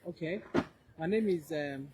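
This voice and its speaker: A woman's voice: sits low, a little slow. A woman from Nigeria who leads a church group, answering interview questions with confidence and enthusiasm. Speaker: church leader. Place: large outdoor expo venue. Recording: loud crowd behind. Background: crowd noise.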